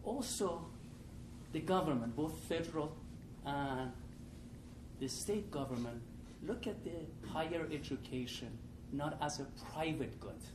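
A man's voice: a little high, a little slow. A man in his sixties with an Iranian accent, a professor giving informal, didactic opening remarks to an audience as a panelist. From Iran. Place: live panel event in a room with an audience. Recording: echoey noisy room, distant mic.

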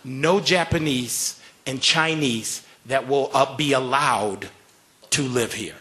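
A man on a discussion panel speaks in an earnest, urging way. His voice sits at an ordinary middle pitch. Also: slow, bright, forceful tone.

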